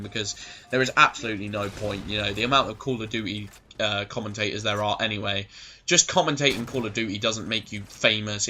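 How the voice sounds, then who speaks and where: Guy in his 20s ranting over gameplay, swears loads, lowish but bright edgy voice, bit hoarse. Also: slight background noise. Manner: rambling, swears a lot, goes off on tangents. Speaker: gaming commentator. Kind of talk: rambling casual gameplay commentary.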